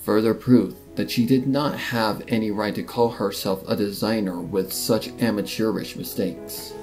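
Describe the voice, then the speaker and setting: Narrator for dramatic fan fiction, scripted read with music clearly underneath. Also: medium pitch, steady tone, normal speed. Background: clear background music with guitar.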